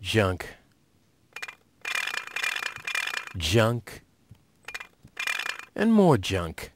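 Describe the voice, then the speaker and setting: A man voicing a cocky, scheming young dreamer in an animated adventure game, boastful, sarcastic and theatrical. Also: on the low side, background noise. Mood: grumbling. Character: cocky, scheming, self-assured young male junkyard dreamer. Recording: loud music behind.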